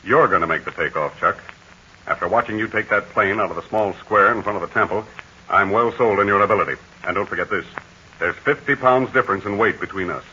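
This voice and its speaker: An actor playing a brave, steady aviator hero in a 1940s radio serial, talking in a calm, assured and commanding way. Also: male voice, lowish voice, a little fast, a little hiss or hum. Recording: dull lo-fi 1940 radio transcription.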